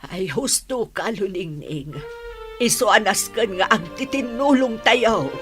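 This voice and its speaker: An actress playing a solemn village woman rallying others, measured in delivery, in a radio drama. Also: medium pitch, animated, over music. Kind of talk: Ilocano radio drama acting.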